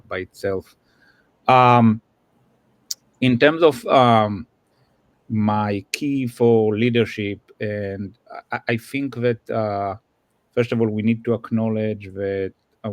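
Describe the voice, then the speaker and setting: A man's voice: on the low side, no echo. Israeli-accented man with a dry, close voice. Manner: hesitant, many "uh" fillers. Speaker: guest, customer success executive. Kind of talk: interview answers.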